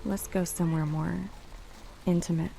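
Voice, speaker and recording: Sultry game streetwalker, teasing and inviting, dark mellow tone, faint rain behind. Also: female voice, fairly low pitch, steady tone, moderate pace, creaky, a little hiss or hum. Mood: seductive. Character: seductive, flirtatious female streetwalker. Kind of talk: video game dialogue.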